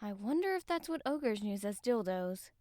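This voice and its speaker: A woman's voice: medium pitch, sing-song, moderate pace, darkish tone, dry recording, studio quality, lightly acted. A woman voicing a crude, joking, laid-back young female gamer in an audiobook, casual and wry. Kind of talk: audiobook character voice.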